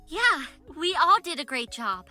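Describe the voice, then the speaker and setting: A woman playing a bubbly, excitable young teammate in a visual novel. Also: high voice, measured pace, faint music behind, full character voice. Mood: excited. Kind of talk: visual novel dialogue acting.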